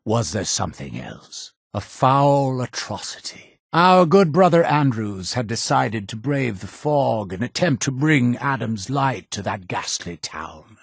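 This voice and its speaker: A zealous male cult leader for a video game. His delivery swings widely in pitch, grand and deliberate like preaching to the faithful. The voice is solemn and fanatical, recorded dry and close. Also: sing-song, gravelly, husky, no room sound, heavily acted. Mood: solemn, measured. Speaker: game character voice actor. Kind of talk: theatrical video game dialogue.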